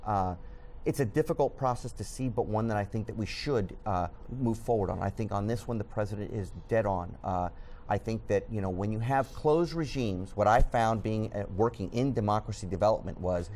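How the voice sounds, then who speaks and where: A man's voice: mid-range voice, darkish tone, a little hiss or hum. A middle-aged man whose first language is Spanish, a news editor talking earnestly as a guest in a studio TV interview, with many fillers. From Southern California.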